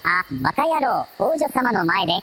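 A woman's voice: medium pitch, very animated, moderate pace, fairly bright tone, husky. A woman playing an earnest male computer companion, clipped, formal and easily flustered, in a scripted audio drama. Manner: clipped, formal, analytical, stammers when flustered.

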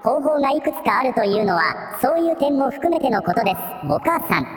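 A woman's voice: sits high, very animated, fast. A woman voicing a dutiful technical helper who explains in a matter-of-fact way with clipped replies, in a radio drama. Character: dutiful tech-savvy helper Steve, shy before royalty. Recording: echoey hall reverb, music behind.

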